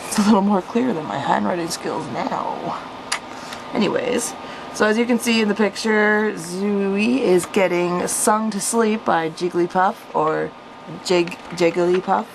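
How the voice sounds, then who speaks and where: A woman's voice: medium pitch, measured pace, gravelly, noisy background. A woman reading out her own childhood story with casual, amused, self-mocking commentary. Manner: self-mocking, chuckly, animated when reading. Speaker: storyteller, vlogger.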